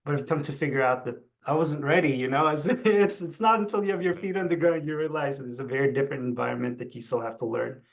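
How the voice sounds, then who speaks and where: A man's voice: a little high, steady tone, quick pace, creaky, hardly any pauses. A middle-aged man from the Philippines, a Tagalog speaker, talking conversationally as an interview guest. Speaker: interview guest, chief executive. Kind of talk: conversational personal account.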